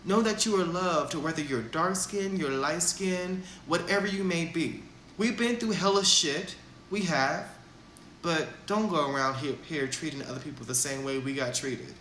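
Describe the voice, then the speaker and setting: A man's voice: a little high, moderate pace, a bit bright. A man giving an earnest, lecturing social media monologue, speaking straight to his viewers. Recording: echoey room with faint background noise.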